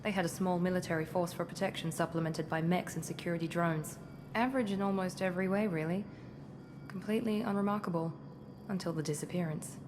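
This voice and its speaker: Woman acting a cool, low-voiced covert operative. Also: steady tone, fast, over music, close to an everyday voice. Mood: detached, matter-of-fact. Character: cool, confident, critical female covert operative. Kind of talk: video game cutscene acting. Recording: clear ambient noise behind.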